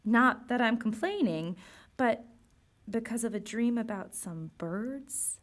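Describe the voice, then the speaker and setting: A woman's voice: a little high, animated, slow. A woman playing an eager teenage girl. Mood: curious. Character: curious teenage girl from the modern world. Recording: clear background ambience.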